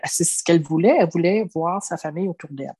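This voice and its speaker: A woman's voice: fairly low pitch, fast talker, mellow, slightly rough. A woman in her seventies from Quebec with a Québécois French accent, telling her life story as an interviewee in an earnest way, often restarting and saying "tu sais". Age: 70s. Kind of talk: reflective personal storytelling.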